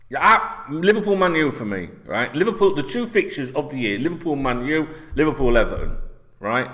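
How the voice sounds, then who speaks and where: A man's voice: medium pitch, moderate pace. A man in his forties from North Yorkshire with a Yorkshire accent, ranting about football to camera in blunt, sweary casual talk, forever checking in with "right?", seated in a small room. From North Yorkshire, England. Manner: sweary, blunt, animated, keeps asking "right?". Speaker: football vlogger. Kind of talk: casual opinion rant to camera. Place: small indoor room, seated in red chair.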